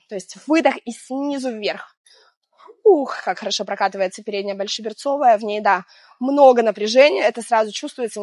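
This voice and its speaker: A woman in her thirties, hoarse from a cold, guiding an exercise routine outdoors by the sea in a chatty, gentle way with small laughs. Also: a little high, no room sound. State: hoarse from illness. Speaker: fitness instructor. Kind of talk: guided exercise instruction. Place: outdoors on a seaside promenade.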